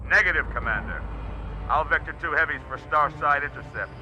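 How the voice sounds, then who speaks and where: Stern, higher-voiced military commander. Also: male voice, animated, bright, forceful tone, a little hiss or hum. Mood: stern, urgent. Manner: measured, formal military command. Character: stern, authoritative male fleet commander. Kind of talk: video game cutscene acting.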